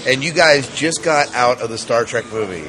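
A man with a mid-pitched voice interviews moviegoers casually, echoing his own short reactions. A noisy crowd is all around him. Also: moderate pace. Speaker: podcast host interviewing moviegoers. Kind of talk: casual vox-pop interview.

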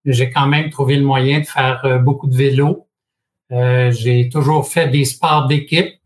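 A man in his sixties from Quebec with a Québécois French accent, a guest in an interview, telling his story in a measured way with frequent hesitations and dry humor. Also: mid-range voice, fairly even intonation. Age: 60s. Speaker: guest, franchise expert.

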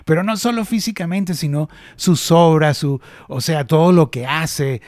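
A man's voice: fairly high pitch, normal speed, a little mellow, no echo, studio quality. A middle-aged Venezuelan man hosting a casual industry chat, talking animatedly with many restarts. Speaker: host.

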